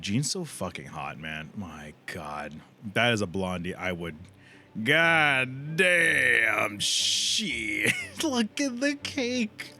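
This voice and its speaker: A man's voice: mid-range voice, bright, forceful tone, a little hiss or hum. A man giving casual, crude and slangy live commentary while streaming a video game. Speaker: livestreamer playing a video game. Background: game music.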